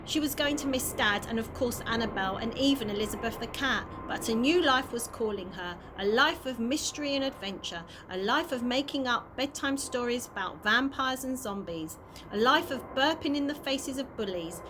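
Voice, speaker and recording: A woman reading a children's chapter book aloud as an expressive storyteller. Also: fairly high pitch, normal speed. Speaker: early years practitioner reading a story. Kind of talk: children's audiobook read aloud. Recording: noisy amateur home recording.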